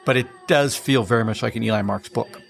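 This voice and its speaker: A man from Minnesota, an author guest, chatting in a relaxed interview and chuckling through his stories. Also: medium pitch, fairly quick, gravelly, faint music behind. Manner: relaxed, anecdotal, chuckles while telling stories. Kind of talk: casual interview chat.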